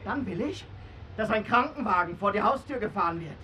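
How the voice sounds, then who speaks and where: A man's voice: sits high, animated, brisk, pushed and bright. Young male gangster, volatile, piercing edge, aggressive taunts, radio crime drama, slight background noise. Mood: threatening, commanding. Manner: taunting, aggressive, barking orders. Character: young gangster hostage-taker, cocky, volatile, threatening.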